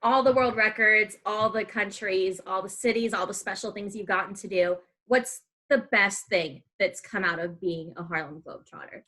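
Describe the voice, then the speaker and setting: A woman's voice: medium pitch, normal speed, few pauses, pushed and bright, poor audio. A woman hosting a podcast, chatting casually as she interviews her guest.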